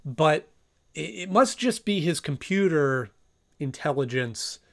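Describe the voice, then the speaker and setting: A man's voice: on the high side, expressive, slow pace, creaky. A man in his thirties giving a casual review to camera as host of a video. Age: 30s. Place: small home room, indoors.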